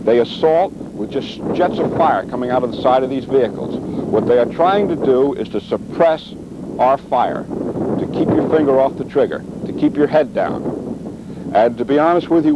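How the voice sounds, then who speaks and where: A man's voice: mid-range voice, muffled recording. Male military instructor, noisy vintage film. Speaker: weapons instructor and presenter. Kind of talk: military training lecture with demonstration. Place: outdoors at a weapons demonstration. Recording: vintage training film, noisy outdoor sound.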